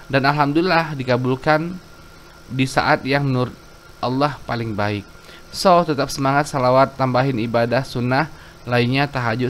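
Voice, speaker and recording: A man in his thirties tells his story earnestly, rambling and correcting himself as he goes. His voice sits at an ordinary middle pitch. A faint hiss runs under the recording. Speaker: YouTube religious storyteller. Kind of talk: reading a viewer's letter, then personal testimony.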